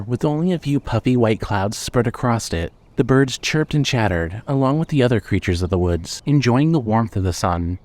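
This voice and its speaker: A man narrating scripted fiction as a storyteller, in a measured way. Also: mid-range voice, darkish tone.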